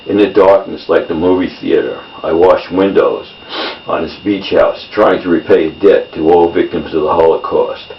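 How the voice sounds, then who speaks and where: A man reading his own poems in a measured delivery, in short phrases. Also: low voice, fairly even intonation. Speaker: poet. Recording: roomy, distant mic, dull sound, faint noise.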